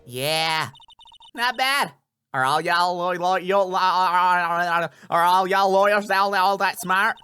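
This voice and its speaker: A man's voice: sits high, takes it slowly, sharp tone, faint music behind, pitched above the performer's own voice, exaggerated voice. A man voicing a brash, folksy Southern woman photographer in a video game, with a slangy, boastful drawl. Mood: teasing.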